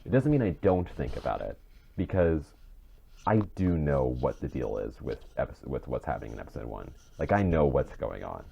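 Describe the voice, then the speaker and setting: A man's voice: rumbling low, big pitch swings, brisk, warm tone. A man co-hosting a podcast in casual chat, rambling and often rephrasing himself as he goes. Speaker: podcast co-host and audio drama creator. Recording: dull, muffled mic with clear noise.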